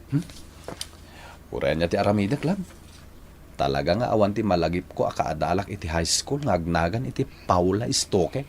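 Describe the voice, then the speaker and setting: Excitable young Ilocano man, swooping pitch. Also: a little hiss or hum. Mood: puzzled. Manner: halting, stammering, exclaiming. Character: excitable young man shocked by his lover's confession. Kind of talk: Filipino radio drama acting.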